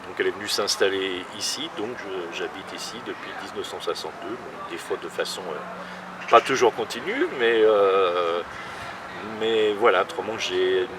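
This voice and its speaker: A man in his sixties, a longtime resident, speaking haltingly with many fillers. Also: deep voice, recorded from a distance, noisy background. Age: 60s. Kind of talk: recorded testimony.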